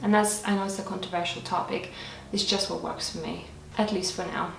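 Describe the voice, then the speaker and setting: A woman's voice: on the low side, steady tone, brisk, creaky, fairly bright tone, recorded from a distance, a little hiss or hum. A young woman whose first language is Lithuanian, chatting earnestly to camera as she answers viewer questions, with small restarts. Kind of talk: casual to-camera Q&A. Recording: echoey room, voice off-mic.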